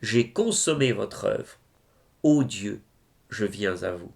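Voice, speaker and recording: Male reader for religious verse: solemn, reverent, unhurried delivery with a creaky, gravelly edge. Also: on the low side, animated, darkish tone. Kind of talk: solemn devotional poetry recitation.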